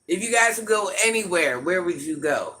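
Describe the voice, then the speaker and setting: A man with an itchy, scratchy throat, hosting a livestream in a grandmother persona and chatting through viewer comments with quick laughs and asides. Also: sits high, animated, bright, forceful tone. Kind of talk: casual live chat answering viewer comments.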